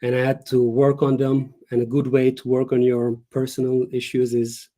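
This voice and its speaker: A young man speaks over a video call as a guest author. His tone is mellow and dark. He talks in earnest and lays out each point with short pauses. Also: mid-range voice, completely flat delivery. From Turkey. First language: Turkish. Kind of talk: casual interview over a live stream.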